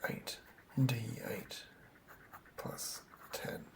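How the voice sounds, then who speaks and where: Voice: very slow, noisy background. A person whispering unscripted ASMR, murmuring hesitantly and thinking aloud while working on a tabletop game monster. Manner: hushed, hesitant, murmuring to self.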